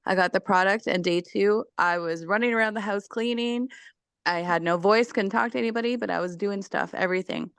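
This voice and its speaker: A woman's voice: mid-range voice, fairly quick, clean tone, no room sound, studio quality. A woman who is losing her voice, sharing her story as a network marketing guest on a video call.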